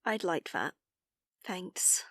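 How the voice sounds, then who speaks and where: An actress playing a kind, wistful colleague in an audio drama, with a soft, tender delivery. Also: female voice, fairly low pitch, sing-song, drawn-out words, heavily acted.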